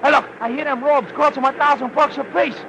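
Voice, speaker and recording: An actor playing a loud, scornful, wisecracking male wrestling fan in 1940s radio style, jeering in excitable slang. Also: extremely high pitch, a little fast, dull audio. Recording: noisy old radio recording, roaring crowd.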